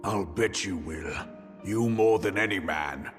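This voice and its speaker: A man's voice: low-pitched, a little slow. A man playing a fierce, grieving warrior general in a video game cutscene, with a heavy, impassioned delivery. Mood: bitter. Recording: music underneath.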